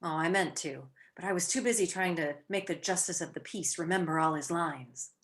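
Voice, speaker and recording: A woman's voice: fairly low pitch, brisk, natural voice. A woman playing a clever, teasing young society wife with playful, wry delivery in a radio play.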